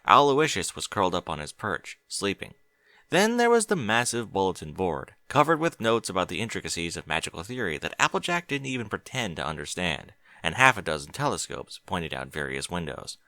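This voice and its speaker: A man reading scripted fiction as an audiobook narrator, measured and expressive. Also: deep voice, no room sound.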